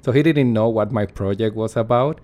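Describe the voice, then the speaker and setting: A man's voice: on the low side, normal speed, a little mellow. A man, a performance artist, giving earnest and reflective interview answers while searching for his words.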